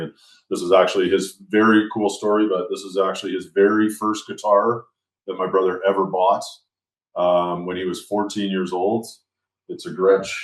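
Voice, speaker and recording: Middle-aged guy from france on a video call, low steady voice, echoey room. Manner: relaxed, self-deprecating, many fillers. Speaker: podcast guest. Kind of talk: casual conversation.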